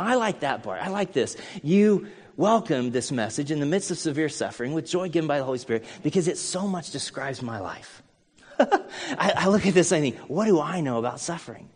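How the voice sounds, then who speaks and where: A man's voice: fairly high pitch, a little mellow, slightly rough. A middle-aged American man preaching a sermon as a pastor, earnest and conversational, mixing scripture with personal stories. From United States. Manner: earnest, conversational, with storytelling asides. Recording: long hall reverb.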